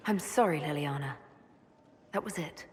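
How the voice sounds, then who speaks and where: An actress playing a composed, sympathetic female elf mage hero in a video game, speaking in a measured, restrained way. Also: deep voice, long reverb tail, a little hiss or hum, close to an everyday voice. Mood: gentle regret. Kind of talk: video game dialogue acting.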